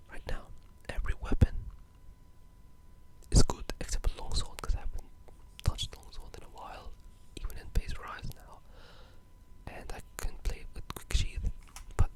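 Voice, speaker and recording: A breathy, unvoiced whisper in a casual, rambling ASMR video game discussion, with an enthusiastic gamer's way of talking. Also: low-quality recording.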